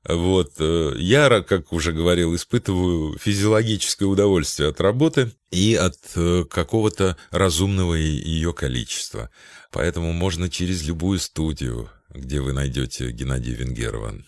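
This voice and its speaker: Very deep-voiced thoughtful man. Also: dry recording. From Belarus. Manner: thoughtful, halting, searching for words. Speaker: voice-over announcer, interview guest. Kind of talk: reflective interview answers.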